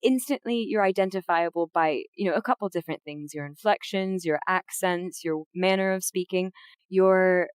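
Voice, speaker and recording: A woman guest, a voice actress and streamer, chatting casually on a podcast in a playful, giggly way that leaps into high excited tones. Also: normal speed, clear, resonant tone, no echo.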